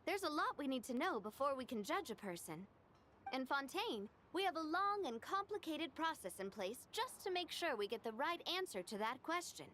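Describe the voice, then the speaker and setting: A bright, reassuring young leader. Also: female voice, high-pitched, very animated, moderate pace, a little hiss or hum. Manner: gentle, reassuring. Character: warm-hearted young woman leader, reassuring. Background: game music.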